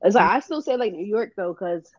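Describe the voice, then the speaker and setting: A woman from New Jersey co-hosting a podcast, hoarse from a recent illness, talking in an easygoing, slangy way. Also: mid-range voice, lively intonation, fairly quick, dry recording, lo-fi sound. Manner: slangy, easygoing, laughs often. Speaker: podcast co-host. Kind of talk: casual chat.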